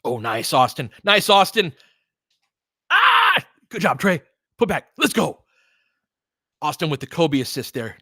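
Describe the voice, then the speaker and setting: A man's voice: a little high, huge pitch swings, a little slow, gravelly, pauses often, pushed and bright, slightly rough, dry recording, studio quality. A man hosting live basketball commentary as a partisan fan, mixing clipped play calls with conversational tactical breakdowns. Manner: partisan fan calling plays in short clipped phrases, explaining conversationally.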